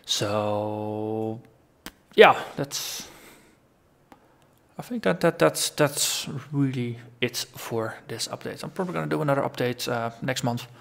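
A man's voice: mid-range voice, slow, creaky, a bit bright. A man hosting a gaming channel, talking through a long casual solo vlog, rambling and thinking aloud as his voice tires. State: voice tiring after a long recording.

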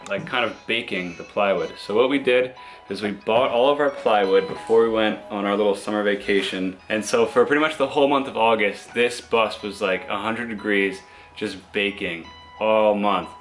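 Man, raspy hoarse voice, lowish, music behind, inside a bus, camera at arm's length. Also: fairly bright tone. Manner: easygoing, explains step by step. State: hoarse from a cold. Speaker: vlogger doing a bus conversion. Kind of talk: casual how-to vlog. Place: inside a gutted school bus. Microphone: camera held at arm's length. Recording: background music under voice.

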